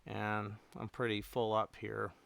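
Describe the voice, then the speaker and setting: A man chatting casually and unscripted as a hobbyist livestream host, rambling a little and thinking aloud in halting phrases. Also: lowish voice, monotone, gravelly, a bit bright. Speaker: radio repair hobbyist hosting a livestream.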